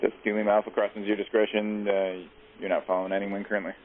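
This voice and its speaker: A man working as an air traffic controller, giving rapid instructions over aviation radio. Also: fairly low pitch, level tone. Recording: tinny aviation radio, faint static.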